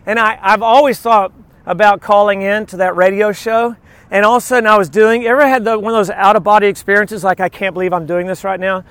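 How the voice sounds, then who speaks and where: A man's voice: high-pitched, fairly quick, smooth, no echo. A man giving an informal, conversational sermon, telling personal stories and acting out little quotes as he teaches. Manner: informal, animated storytelling with acted-out quotes. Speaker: preacher.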